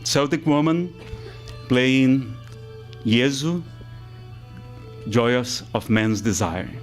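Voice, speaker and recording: Brazilian-accented male lecturer, Portuguese native, unhurried, halting restarts, mid-pitched. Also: faint music behind. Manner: slow, deliberate, with halting restarts. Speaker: spiritist lecturer. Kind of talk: public lecture. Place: spiritist center hall.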